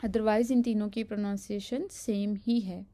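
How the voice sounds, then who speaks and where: A woman teacher giving an instructional language lesson, explaining step by step in a deliberate, repetitive way and carefully enunciating examples. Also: medium pitch, fairly even intonation, warm tone. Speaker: French teacher.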